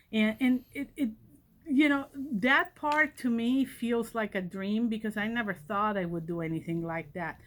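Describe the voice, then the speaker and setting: A woman, a college vice president telling her personal story in a reflective, conversational way. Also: medium pitch. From Catalonia, Spain. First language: Catalan. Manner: reflective, conversational, many fillers. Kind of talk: personal origin story talk.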